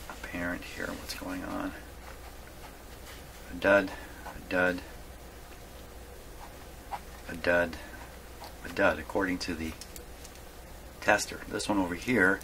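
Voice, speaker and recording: A man giving a casual hands-on workshop demonstration, thinking aloud in a halting mutter and repeating his words. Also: deep voice, fairly bright tone. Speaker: hobbyist radio repairer presenting his workshop video. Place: radio repair workshop. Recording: clearly noisy workshop recording.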